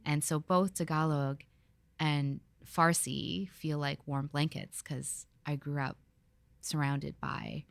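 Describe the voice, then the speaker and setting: A woman from the Philippines hosting a podcast interview. She talks in a reflective, relaxed way, with fillers and restarts. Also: low voice, slow pace, gravelly, no echo. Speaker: podcast host. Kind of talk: conversational interview.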